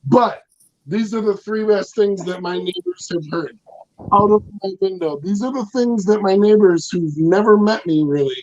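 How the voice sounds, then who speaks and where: A man performing a loud, rambling, self-mocking stand-up set over a video call. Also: high voice, normal speed, lo-fi sound. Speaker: stand-up comic in residence.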